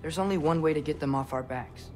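A man's voice: a little high, quick pace, darkish tone, lightly acted. An actor playing an earnest, headstrong teenage boy in a video game, eagerly explaining and persuading. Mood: pleading, earnest. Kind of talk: dramatic video game acting. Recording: clear music behind the voice.

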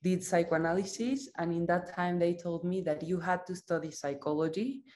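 A woman's voice: fairly low pitch, fairly even intonation, normal speed, a little mellow. A woman in her thirties from Mexico with a Mexican accent and Spanish as her native language, speaking reflectively on a video call and often tagging statements with a questioning "no?". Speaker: psychoanalyst and author in conversation. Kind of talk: reflective conversation. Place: indoor room at home, video call. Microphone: video call microphone.

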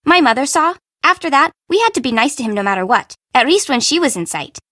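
A woman's voice: high-pitched, sing-song, fast talker, fairly bright tone, no echo, studio quality. An actress voicing a flippant, sassy young fashion-minded city girl in a video game, with a teasing, slangy, blunt way of talking. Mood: chatty, teasing. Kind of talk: video game character dialogue.

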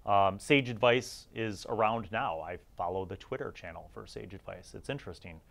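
A man's voice: lowish voice, moderate pace, creaky, a bit bright. A middle-aged man giving casual, opinionated review commentary in an informal, conversational way as the host of a series.

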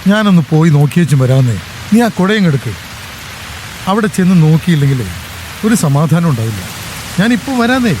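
A man playing a proud older farmer in a radio drama, with a Kerala Malayalam accent and a steady, fatherly way of talking. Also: a little high, normal speed, pauses often, slight background noise, poor audio. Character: proud aging farmer devoted to his land. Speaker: old farmer, husband and father.

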